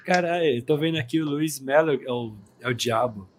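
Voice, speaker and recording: A man's voice: on the high side, normal speed, slight background noise. A Brazilian man co-hosting a casual film review chat, laid-back and slangy, laughing often. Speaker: podcast co-host.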